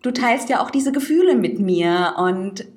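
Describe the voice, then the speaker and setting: A woman's voice: on the high side, animated, unhurried, darkish tone. Articulate young woman co-hosting a podcast. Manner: articulate, reflective. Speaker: podcast co-host. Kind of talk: casual chat.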